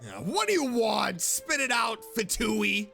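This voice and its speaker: A woman's voice: on the high side, big pitch swings, unhurried, vocal fry, pushed and bright, soft background music. A woman giving casual playthrough commentary as a streamer, reacting in a joking, mock-tough way.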